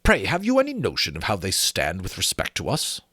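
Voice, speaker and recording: Man acting a courtly, fretful English gentleman scholar, animated. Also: sits low, a little fast, slightly rough, dry recording, studio quality, heavily acted. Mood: worried. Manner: formal, courtly, emphatic. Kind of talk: dramatic audiobook reading.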